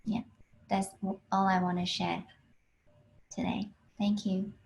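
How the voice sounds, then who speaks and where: A woman in her twenties from Hong Kong, a PhD student giving a plain, unhurried talk over a video call with frequent ums. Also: medium pitch, flat delivery, gravelly, a little mellow, slight background noise. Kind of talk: online alumni talk.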